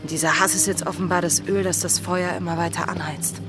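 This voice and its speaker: Music swells around a low-pitched pirate woman acted by a woman, haunted yet resolute. Her delivery is intense and brooding, in the manner of a radio play. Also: fairly even intonation, brisk, natural voice. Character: Anne Bonny, wanted female pirate, haunted, resolute lover. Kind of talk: German radio drama acting.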